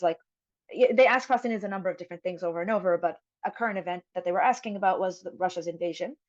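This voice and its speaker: A woman's voice: mid-range voice, darkish tone, no echo, dull audio. A woman from Jerusalem speaking on a forum panel over a video call, thoughtful, with frequent fillers and restarts.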